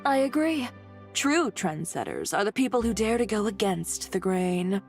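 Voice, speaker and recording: A woman's voice: medium pitch, big pitch swings, normal speed, soft background music. A woman voicing a cool, self-assured, teasing fashion designer in a video game.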